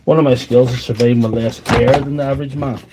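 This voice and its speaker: A man's voice: mid-range voice, steady tone, fast, heavy background noise. A man with a wheezy voice, chatting loosely to livestream viewers while he repairs tools in his workshop. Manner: rambling, chatty, talks to viewers while working. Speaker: tool repair livestream host. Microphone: mic on a stand close by.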